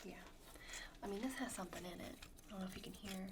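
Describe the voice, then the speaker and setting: Woman acting as polite shopping presenter lady, soft breathy half-whisper, bit hesitant, asmr roleplay, lots of noise and clicks. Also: medium pitch, fast, natural voice. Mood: soft, tentative. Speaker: ASMR performer. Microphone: close sensitive ASMR microphones. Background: tapping and clicking of handled objects.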